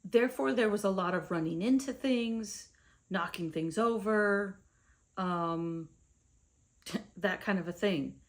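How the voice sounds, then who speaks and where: A woman's voice: mid-range voice, slow, pauses often. A young woman from India with an American accent, telling her own story to the camera in a casual, chatty way. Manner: conversational, with fillers and asides to the viewer. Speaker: vlogger.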